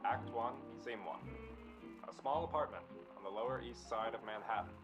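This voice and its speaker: A man's voice: medium pitch, moderate pace. A man giving a scripted announcement as the narrator of a radio play. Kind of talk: scripted cast and scene announcement. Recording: dull sound with music underneath. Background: instrumental music.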